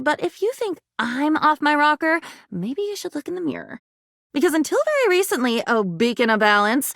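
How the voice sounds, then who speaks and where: A woman's voice: sits high, a bit bright, no echo, clean studio sound, full character voice. A woman playing a living diary in a video game, whimsical, chatty and sardonic, rambling playfully with dramatic asides. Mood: teasing, indignant. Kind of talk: expressive video game voice acting.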